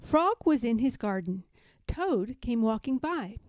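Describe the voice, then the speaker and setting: Woman, children's read-aloud narrator, lively intonation, unhurried, dry close sound. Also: a little high, no echo. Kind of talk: expressive children's storybook narration.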